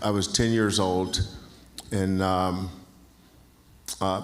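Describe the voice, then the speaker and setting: A man in his sixties from North Carolina, a basketball coach, telling folksy stories in an onstage interview and often restarting phrases. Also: fairly low pitch. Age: 60s. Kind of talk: onstage interview at an awards banquet.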